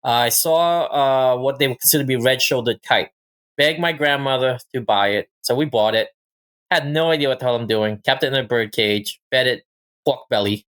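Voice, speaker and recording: Male podcast guest from Thailand, mid-pitched, casual storyteller. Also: moderate pace, fairly bright tone. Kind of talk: casual personal storytelling and chat.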